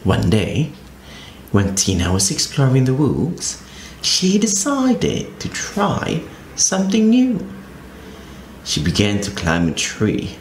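Man storyteller for kids, very animated read-aloud, unhurried delivery, echoey room with faint hiss. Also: mid-range voice. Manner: expressive, very animated storybook reading. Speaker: children's storyteller. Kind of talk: reading a picture book aloud to children.

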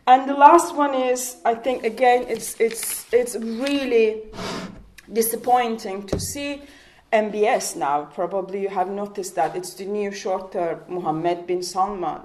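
A woman's voice: mid-range voice, a little slow, a little mellow, a little hiss or hum, rough recording. Impassioned woman, Kurdish native speaker. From Turkey. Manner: impassioned, rhetorical questions, frequent restarts. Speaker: panel speaker. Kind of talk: public panel talk. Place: community hall, panel table. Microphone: handheld mic.

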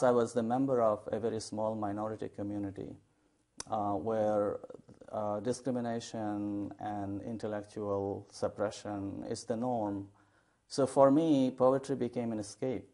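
A low-voiced man from Bangladesh, a professor and poet, speaking in a measured, thoughtful way. He fills his pauses with frequent ums and uhs. It sounds like a lapel mic in a television studio. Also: darkish tone. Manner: measured, thoughtful, with many fillers. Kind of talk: studio interview.

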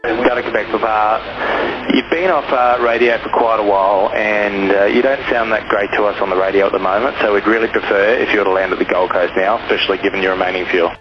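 Low-voiced male controller on muffled radio. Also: fairly even intonation. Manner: procedural, measured phrasing. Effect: aviation radio. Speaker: air traffic controller. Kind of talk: radio air traffic instructions. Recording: muffled lo-fi aviation radio, music behind.

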